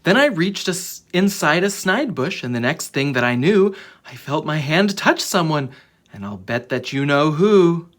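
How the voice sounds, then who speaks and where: A storyteller with a husky voice reading a children's book aloud in a dramatic, expressive way. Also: mid-range voice, normal speed. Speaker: children's story reader and channel host.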